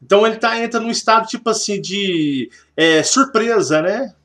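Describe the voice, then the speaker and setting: High-voiced Brazilian man explaining. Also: moderate pace, a bit bright. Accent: Brazilian Portuguese. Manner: rambling, repeats himself, many fillers. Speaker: spiritual channel host. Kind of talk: spiritual talk, explaining.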